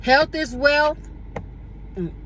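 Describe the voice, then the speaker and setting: Woman, forties, slightly high voice, casual vlog from her car, unhurried with fillers and restarts, faint traffic outside. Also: lively intonation, fairly bright tone, rough recording. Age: 40s. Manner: unhurried, with many fillers and self-corrections. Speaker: vlogger. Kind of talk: casual reflective talk to camera. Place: parked car.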